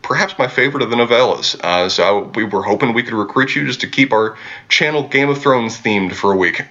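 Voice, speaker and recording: A man's voice: mid-range voice, a little fast, sharp tone, dull audio. A man co-hosting a podcast in casual chat, wordy with dry, wry humor. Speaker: podcast co-host.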